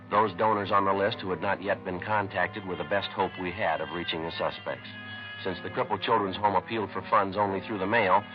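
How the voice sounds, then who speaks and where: An actor playing a terse American police sergeant in a 1950s radio drama, clipped, deadpan and matter-of-fact. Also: male voice, low voice, natural voice. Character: businesslike, terse male police sergeant detective. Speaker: lead actor and narrator. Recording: dull 1950s radio recording, music behind.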